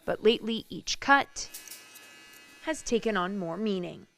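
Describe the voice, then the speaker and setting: A woman's voice: medium pitch, expressive, measured pace, gravelly, a bit bright, slight background noise. A woman narrating a scripted television news story as a reporter.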